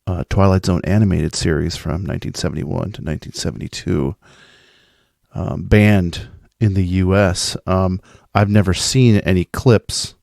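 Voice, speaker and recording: A man with a fairly low voice talks in an informal, enthusiastic monologue. He is effusive and playful, with a geeky streak. The sound is dry, with little room around it. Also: steady tone, moderate pace, creaky, darkish tone, no room sound. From American Midwest. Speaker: podcast host sending in a festival recap.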